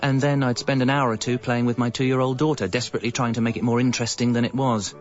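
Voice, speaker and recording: Wry, exasperated English dad narrator played by a male actor, confessional radio comedy delivery, mid-pitched, slightly dull sound. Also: flat delivery, fast, soft background music, natural voice. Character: discontented, evasive young father and jingle writer. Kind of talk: scripted first-person comic narration.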